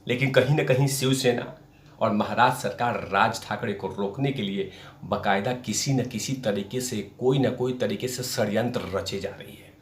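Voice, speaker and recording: A man's voice: fairly low pitch, moderate pace, slight background noise. A man hosting a political news commentary, speaking emphatically and repeating phrases for effect. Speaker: host of a political commentary show. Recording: roomy, echoing sound.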